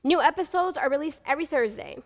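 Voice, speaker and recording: A young woman anchoring a student newscast in a bright, upbeat scripted delivery. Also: high-pitched, expressive, quick pace, big-room sound. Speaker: news anchor.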